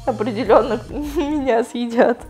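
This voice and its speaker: A woman's voice: a little high, a little slow, music in the background. A young woman vlogging casually, playful and chatty as she talks to her dog and her viewers while opening toys, recorded on a lapel mic in a small tiled bathroom. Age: young adult. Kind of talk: casual vlog chat unboxing toys. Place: small tiled home bathroom, sitting in a bathtub.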